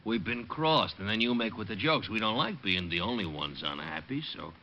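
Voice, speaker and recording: An actor playing a menacing, slangy New gangster in an old-time radio crime drama. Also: male voice, lowish voice, animated, moderate pace, pushed and bright. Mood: menacing, sarcastic. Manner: slangy, tough, sarcastic. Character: menacing gangster thug with a gun. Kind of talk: 1940s radio acting. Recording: dull, noisy old radio recording.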